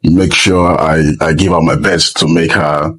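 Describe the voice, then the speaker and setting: A man's voice: rumbling low, steady tone, unhurried, fairly bright tone, a little hiss or hum. A man with a Nigerian accent, a talk show guest speaking casually and hesitantly, with many fillers.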